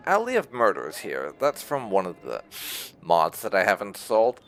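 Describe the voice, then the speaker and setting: A man's voice: on the low side, wildly swooping pitch, normal speed, fairly bright tone. A man giving casual playthrough commentary, rambling a little as he thinks aloud. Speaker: gaming video host.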